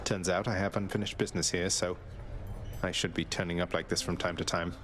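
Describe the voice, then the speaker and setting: A man's voice: low voice, little pitch movement, fairly quick, fairly bright tone. A man voicing a wry, courteous young prince of the underworld in a video game, dry and understated. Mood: friendly, earnest. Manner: dry, understated, casual politeness. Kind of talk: video game character acting.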